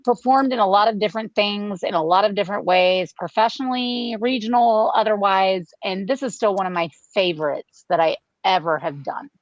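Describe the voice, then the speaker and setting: A woman's voice: medium pitch, a little fast, few pauses, no echo. A woman talks casually in a mid-range voice. She is effusive and stresses her points. The audio is dry and a little dull and lo-fi. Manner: effusive, emphatic. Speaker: radio play performer. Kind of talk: casual chat.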